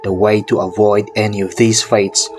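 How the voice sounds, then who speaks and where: A man giving a scripted, measured narration of an eerie horror folktale. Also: lowish voice, level tone, a little hiss or hum. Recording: music behind the voice. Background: music.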